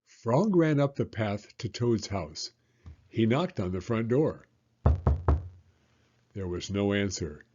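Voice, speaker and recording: Man in his 50s reading to kids, slow and gentle, dullish sound. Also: on the low side, lo-fi sound. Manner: gentle, lively storytelling. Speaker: elementary school teacher, storyteller. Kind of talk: children's read-aloud narration.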